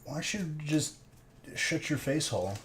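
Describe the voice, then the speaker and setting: A man's voice: on the high side, lively intonation, normal speed, very noisy. A man in his thirties hosting a live stream, chatting casually and jokingly teasing his viewers while he opens card packs. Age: 30s. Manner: teasing, joking banter with chat.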